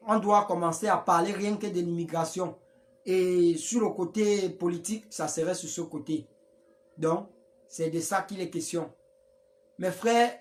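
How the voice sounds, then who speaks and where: A voice speaks to followers in a live stream, preaching and rambling with frequent restarts. The pitch sits in an ordinary middle range. It sounds recorded close on a phone or webcam. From Africa.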